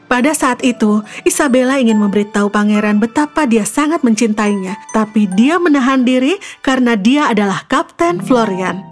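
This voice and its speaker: A woman storyteller with a fairly high voice reads a scripted children's fairy tale. Her narration runs over soft background music. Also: animated, normal speed. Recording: music behind the voice.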